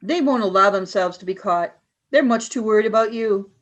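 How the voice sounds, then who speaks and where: A woman playing a calm, matter-of-fact alien commander in an amateur radio play. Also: medium pitch, brisk, highs cut off, close to an everyday voice.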